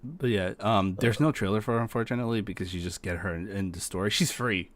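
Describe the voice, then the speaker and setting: A man's voice: fairly low pitch, fast talker, dry recording. A man hosting casual reaction commentary, explaining game characters in an easygoing way. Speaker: host presenting game characters.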